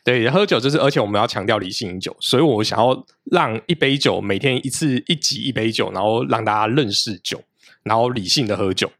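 A man, a podcast guest explaining his show in a steady, explanatory way. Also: medium pitch, a little fast, creaky, dry recording, studio quality. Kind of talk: casual interview talk.

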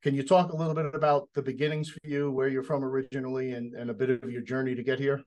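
A man's voice: mid-range voice, little pitch movement, fast talker, darkish tone, muffled recording. A man hosting a podcast interview in an easygoing, folksy way. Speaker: podcast host.

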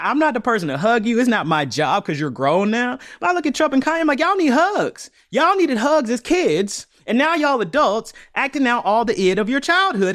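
A man in his thirties, a podcast guest talking about his audio fiction, animated and emphatic, often laughing and putting on quoted voices. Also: very high-pitched, dry recording, studio quality. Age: 30s. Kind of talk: conversational interview.

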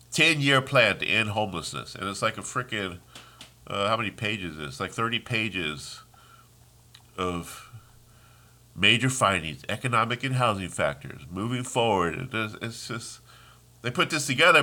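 Deep-voiced, bright, congested man ranting. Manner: heated, ranting, trails off and restarts. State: congested, sniffling. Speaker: podcast host. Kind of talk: casual solo podcast monologue.

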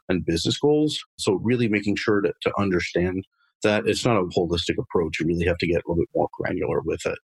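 A man's voice: low voice, breathy edge, no room sound, low-quality recording. A man in his thirties from France, a marketing director speaking as a podcast guest. He talks matter-of-factly and rattles through lists of his experience. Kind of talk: conversational interview.

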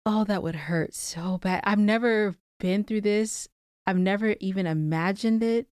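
A young adult woman co-hosting a podcast, talking candidly and reflectively in casual chat, quick to break into playful laughter. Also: medium pitch, a little mellow, clean tone, no echo, clean studio sound. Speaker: podcast co-host.

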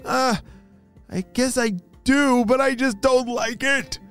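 A storyteller doing a high-pitched, timid little girl rabbit. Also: fairly even intonation, slow, fairly bright tone, smooth, soft background music. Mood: nervous. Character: frightened young female rabbit, timid and tearful.

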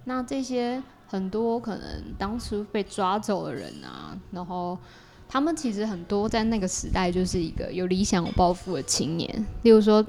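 A woman's voice: mid-range voice, big pitch swings, normal speed, creaky, darkish tone. Chatty Taiwanese Mandarin-speaking woman. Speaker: podcast guest, creator of an audio theatre work. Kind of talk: casual interview chat.

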